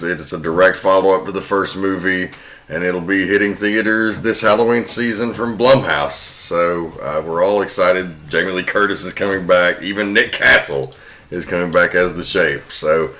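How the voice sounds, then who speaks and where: Deep, bright-voiced male podcast host. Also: fairly even intonation, normal speed. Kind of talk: semi-scripted podcast commentary.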